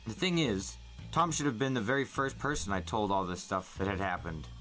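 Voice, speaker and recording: A man reads a story aloud with expressive, audiobook-style narration. His voice sits fairly low but has a bright, forward edge, and music plays behind him. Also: a little fast. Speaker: podcast host reading a novel aloud. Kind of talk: first-person audiobook-style narration with acted dialogue.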